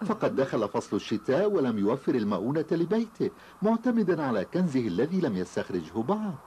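Mellow, animated male story narrator. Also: mid-range voice, fast, a little hiss or hum. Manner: formal, dramatic storytelling. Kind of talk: scripted cartoon story narration.

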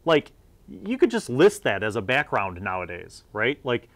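Middle aged guy doing a laid back review vid, regular pitch, kinda rambling and thinking out loud. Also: big pitch swings, moderate pace. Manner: rambling, conversational, thinking aloud. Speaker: video host reviewing old gaming magazines. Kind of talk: casual unscripted review commentary.

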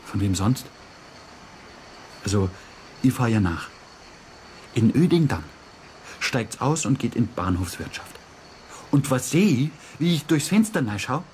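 A man's voice: medium pitch, very animated, unhurried, long pauses, a little mellow, slight background noise. An actor playing a spiteful, defensive man with a Bavarian accent under police questioning in a radio crime drama, brusque and indignant. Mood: heated, spiteful. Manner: brusque, indignant, self-justifying. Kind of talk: naturalistic German radio crime drama acting.

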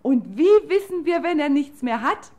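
Hohe, naive Schweizer Ehefrau. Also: female voice, high voice, expressive, moderate pace. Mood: eager. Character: naive, prim, eager Swiss wife. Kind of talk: comedic radio play dialogue.

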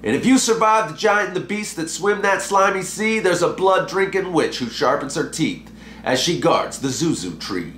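Man putting on an old hermit guru, pitched up. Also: animated, normal speed, fairly bright tone, roomy sound, a little hiss or hum. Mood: ominous, conceding. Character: old hermit guru on a mountaintop, weary and wry.

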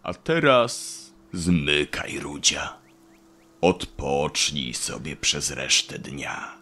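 Wise old talking tree played by a man: low, forceful, knowing, for a children's audio play. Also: big pitch swings, full character voice. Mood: gentle. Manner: slow, measured, all-knowing, pompous.

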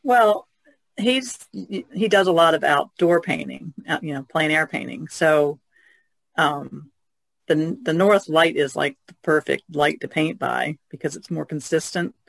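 A woman's voice: deep voice, a little slow, gravelly, dry recording. A woman speaking casually as a panelist in a podcast conversation.

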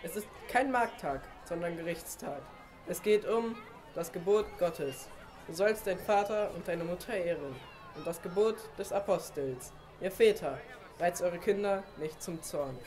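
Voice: mid-range voice, lively intonation, a little slow, darkish tone, some chatter behind, lightly acted. A performer playing a stern bishop who presides over a public trial in an amateur radio play, with solemn, commanding proclamations.

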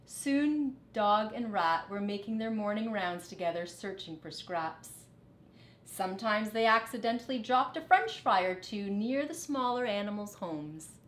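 A middle-aged woman reads a picture book to children in a teacherly way. Her pitch moves expressively and her pace is measured. The sound is echoey, like a living room. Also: lively intonation. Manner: teacherly, dramatic phrasing. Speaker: storybook reader. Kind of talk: expressive children's read-aloud.

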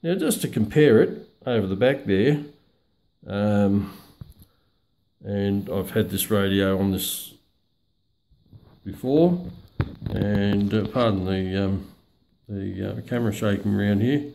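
A middle-aged man giving a casual, unscripted product demonstration in a halting, hesitant way with many pauses. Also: fairly low pitch, gravelly, dark tone. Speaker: radio hobbyist reviewer. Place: small room at home, indoors. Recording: noisy home recording with radio static. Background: shortwave radio static and broadcast.

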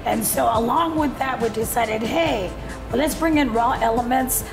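A middle-aged woman talking in an interview in a hotel lounge, lively and quick to laugh. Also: on the high side, gravelly, music in the background, low-quality recording. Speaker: hotel staff guest. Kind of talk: interview chat.

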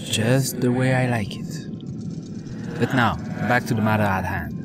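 A man playing a secretive informant, measured, formal and deadpan, in a sci-fi audio drama. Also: mid-range voice, very noisy, lightly acted. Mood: cool, formal. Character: cool, secretive, formal male informant aboard ship.

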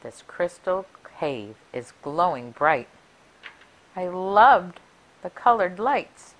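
Slow, very expressive woman reading to children. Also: lowish voice, poor audio. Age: middle-aged. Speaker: library story time reader. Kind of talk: picture book read-aloud for children. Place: small furnished room indoors. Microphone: clip-on lapel mic.

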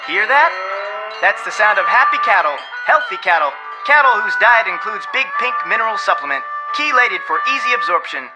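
A woman's voice: medium pitch, expressive, a little fast, lightly acted, poor audio. A woman playing a salesy announcer in a radio commercial within a game. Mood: enthusiastic. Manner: salesy, listing items. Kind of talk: radio advertisement. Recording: loud music under voice. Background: loud music.

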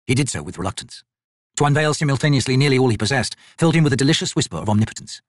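A man's voice: medium pitch, animated, rapid-fire, no room sound, studio quality. A man reading dramatic fantasy narration as an audiobook narrator.

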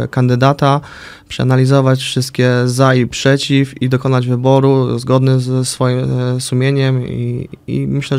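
Gravelly, flat-voiced young male guest. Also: medium pitch. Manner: hesitant, with many fillers and restarts. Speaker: political candidate, guest. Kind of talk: radio interview answers. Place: small radio studio with orange acoustic panels. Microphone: studio mic on a desk arm.

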